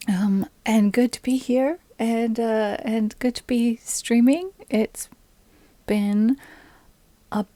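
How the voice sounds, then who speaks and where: A woman streamer chatting casually with her live audience, relaxed and friendly, close to a USB microphone. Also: a little high, no echo. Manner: slow, relaxed and friendly, greets viewers by name, with small laughs. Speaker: streamer and ukulele player. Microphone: USB microphone on a boom arm with a pop filter.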